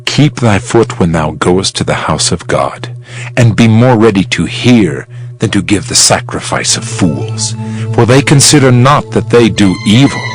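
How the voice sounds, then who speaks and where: A man playing a solemn Preacher in a dramatized King James Bible reading, measured and oratorical in delivery. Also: fairly low pitch, big pitch swings, soft background music. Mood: solemn, admonishing. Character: solemn male Preacher reflecting on vanity and God. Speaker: dramatized scripture reader.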